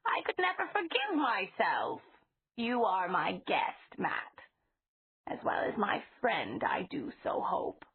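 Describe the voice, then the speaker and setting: A woman reading aloud, voicing a gentle, fussy elderly woman who is a witch, speaking softly and fondly with a husky tone. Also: medium pitch, huge pitch swings, full character voice. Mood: tender. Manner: soft, fond, slow. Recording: tinny phone-like sound.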